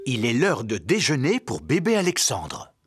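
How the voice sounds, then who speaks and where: A man as an adult storyteller narrating a scripted children's cartoon in a calm way. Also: mid-range voice, moderate pace, music in the background. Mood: explanatory.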